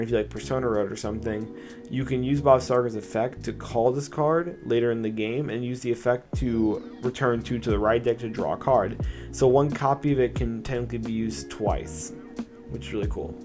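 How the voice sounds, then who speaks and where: A young man with a slightly raspy voice, chatting casually through a card game deck test and explaining his plays. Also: medium pitch. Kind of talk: casual gameplay commentary. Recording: music playing underneath.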